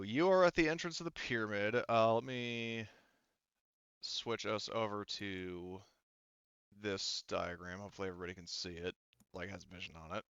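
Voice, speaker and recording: A man running a tabletop game as game master, narrating casually in a halting, rambling way full of filler phrases. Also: fairly low pitch, clear, resonant tone, no echo, dull audio. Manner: halting, rambling, frequent "like" and "you know"; casual swearing.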